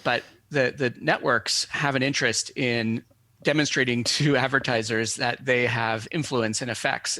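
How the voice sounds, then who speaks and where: A man's voice: medium pitch, bright, forceful tone, no room sound. A man, a professor guest, giving careful academic explanations in a conversational, slightly formal way.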